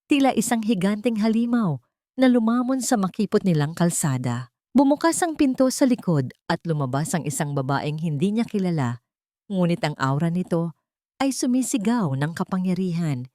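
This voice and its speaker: Low-voiced Filipino woman narrating drama. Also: expressive, moderate pace, warm tone, no echo, clean studio sound. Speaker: audiobook narrator. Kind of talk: dramatic scripted story narration.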